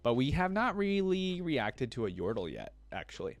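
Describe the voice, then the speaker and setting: A man hosting a reaction video, reading character lore aloud and breaking off into casual, excited comments. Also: mid-range voice, moderate pace, no echo. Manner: reads wiki text then breaks into excited asides. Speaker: reaction channel host.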